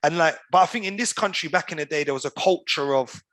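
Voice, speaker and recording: Animated man telling stories. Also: fairly high pitch, fast, dry recording. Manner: animated storyteller, uses slang like "bro" and "you get me". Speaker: podcast guest. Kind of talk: casual storytelling over video call. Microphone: video call.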